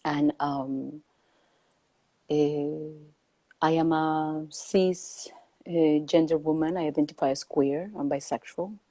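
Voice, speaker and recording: A woman from Peru, a podcast guest calling in remotely, speaking slowly and thoughtfully with many pauses and hesitations. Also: low voice, fairly even intonation, dark tone. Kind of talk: reflective conversation.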